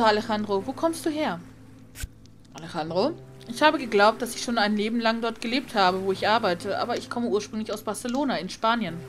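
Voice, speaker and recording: Woman gamer commentating over music. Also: mid-range voice. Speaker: gaming let's player. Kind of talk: casual game commentary, reading on-screen dialogue aloud. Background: video game music.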